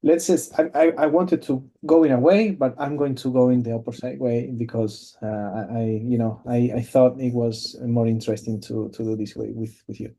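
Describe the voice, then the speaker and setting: Halting man presenting on a webinar. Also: medium pitch, steady tone, mellow. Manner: halting, many fillers and restarts. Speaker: cloud company presenter. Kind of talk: webinar product demo. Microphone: video call.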